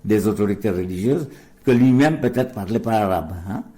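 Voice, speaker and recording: A low, mellow-voiced older man, from a Gujarati-speaking home. He talks slowly and hesitantly, with many restarts, as a guest in a radio interview. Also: animated. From India. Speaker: guest, deacon.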